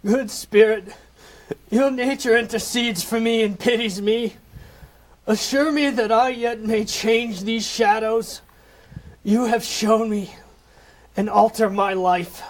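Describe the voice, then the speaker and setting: A repentant old miser in a comic audio play, with a very high voice for a male. He speaks slowly and theatrically, full of exclamation and raw emotion. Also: steady tone. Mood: desperate pleading. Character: repentant old male miser, desperate then giddily overjoyed.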